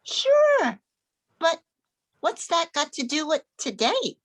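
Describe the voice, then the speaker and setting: Woman playing an eager, very high-voiced little girl. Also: wildly swooping pitch, slow pace, full character voice. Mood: curious. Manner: childlike, eager delivery. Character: excited, sweet little schoolgirl, eager daughter. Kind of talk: amateur radio play acting.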